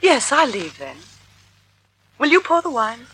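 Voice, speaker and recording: Silky, imperious femme fatale, old radio. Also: female voice, sits high, very animated, unhurried, a bit bright, heavily acted. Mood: silky, menacing. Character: vain, glamorous woman, a cold seductive poisoner. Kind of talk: 1940s radio drama acting. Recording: noisy old radio recording.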